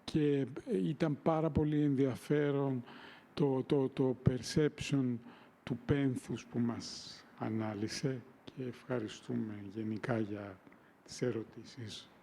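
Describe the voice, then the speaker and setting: Hesitant man with a mellow voice. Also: mid-range voice, steady tone, unhurried, gravelly, a little hiss or hum. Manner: hesitant, many fillers. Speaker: audience member. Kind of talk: live Q&A question.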